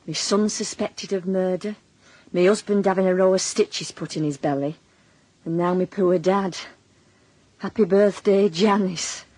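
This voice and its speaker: A woman's voice: on the low side, steady tone, unhurried, a little mellow, low-quality recording. A woman playing a defensive, blunt working-class Northern English wife and mother, middle-aged, in a naturalistic radio drama. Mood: weary, wry. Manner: colloquial, blunt, wry. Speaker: lead actress and narrator in a radio drama.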